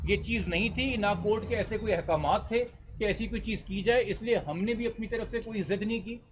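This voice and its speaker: High-pitched Pakistani man, formal and emphatic. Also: a little fast, slight background noise, rough recording. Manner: articulate, formal, emphatic, mixing in English legal terms. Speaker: lawyer speaking to reporters. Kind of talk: press statement answering reporters' questions.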